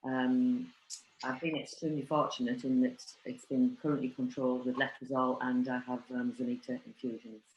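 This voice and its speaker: A woman from England introducing herself in a steady, matter-of-fact way in a casual discussion. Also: extremely low pitch, a little fast, echoey room, lo-fi sound, slight background noise. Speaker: round table guest.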